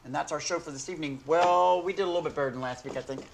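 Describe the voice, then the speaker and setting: An older man with a hoarse, failing voice hosting a sports talk show from a small studio desk, rambling and correcting himself as he goes. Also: a little high, racing, a little hiss or hum, low-quality recording. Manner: rambling, self-correcting, chuckling. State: hoarse, losing his voice.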